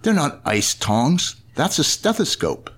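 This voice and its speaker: A man's voice: a little high, very animated, a little slow, breathy edge, heavily acted. A man playing a patient but exasperated father explaining things to his little girl in an old-time radio comedy.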